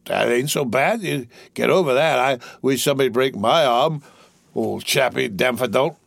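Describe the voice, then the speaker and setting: A man's voice: medium pitch, moderate pace, creaky, dry recording. A man voicing a drunk, merry rich young man of about eighteen, with slurred, laughing speech. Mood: tipsy.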